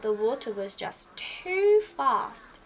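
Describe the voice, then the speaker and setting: A woman narrates a picture book for children. Her voice is fairly high and moves with lots of animated melody. The recording carries faint background noise. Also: takes it slowly, rough recording. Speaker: storyteller reading to children. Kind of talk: expressive children's storybook narration.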